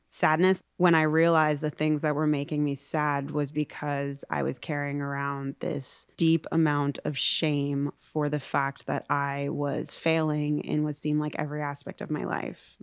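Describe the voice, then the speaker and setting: A woman in her thirties, a podcast guest, telling her own story in a reflective, halting way with small laughs. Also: low-pitched, level tone, clear, resonant tone, no room sound. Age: 30s. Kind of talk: candid personal storytelling.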